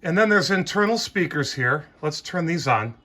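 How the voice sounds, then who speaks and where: A man's voice: fairly high pitch, animated, moderate pace. A man giving a casual hands-on equipment demo, explaining things informally and thinking aloud as he goes. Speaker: vintage audio hobbyist presenter. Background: music playing.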